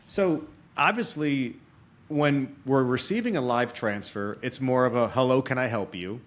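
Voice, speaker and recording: A man's voice: mid-range voice, unhurried. A man hosting a podcast interview, explaining things conversationally and often checking in with his listener. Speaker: podcast host and experienced salesman.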